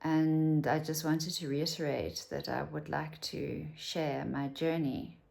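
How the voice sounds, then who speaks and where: Low, gravelly South African woman in her fifties. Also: steady tone, slow pace, a little mellow. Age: 50s. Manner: rambling, candid, drops to a whisper for asides. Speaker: vlogger. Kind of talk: casual talk to camera.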